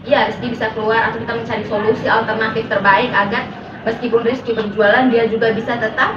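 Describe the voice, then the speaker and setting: A woman's voice: a little high, flat delivery, fast, far from the mic. A woman leading a group counseling session, encouraging the others and summing up what they say. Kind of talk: guided group counseling discussion. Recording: echoey, noisy, muffled pickup from afar.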